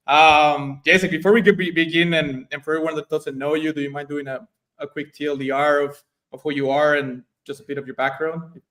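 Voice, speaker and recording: A man's voice: fairly high pitch, little pitch movement, fairly quick, a bit bright, distant mic. Upbeat male host, a bit off-mic. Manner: upbeat, chatty, loose phrasing. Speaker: podcast host. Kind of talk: casual show host intro.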